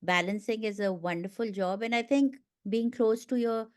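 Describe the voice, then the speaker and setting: A woman's voice: a little high, normal speed. A woman hosting a radio talk show, asking questions in a friendly, upbeat way with some fillers. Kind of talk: radio interview.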